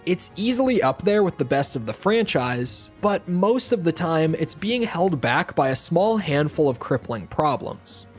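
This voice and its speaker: A man narrating a game review in a relaxed, chatty tone, his voice a bit hoarse as if he is close to losing it. His voice sits a little above the usual male range, and soft music plays underneath. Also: a little high. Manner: casual, conversational. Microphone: home voiceover mic.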